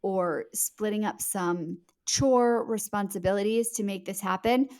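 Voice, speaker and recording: A woman's voice: medium pitch, a little slow, darkish tone. Earnest woman answering as interview guest.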